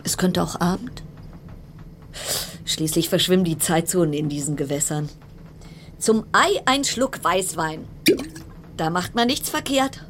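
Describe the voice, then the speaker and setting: An actress playing a brittle, self-reassuring woman isolated in a ship's cabin, musing haltingly and repetitively in in a radio play, at times dropping into whispers. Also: fairly low pitch, slight background noise, lightly acted. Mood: restless musing. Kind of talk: German radio play monologue.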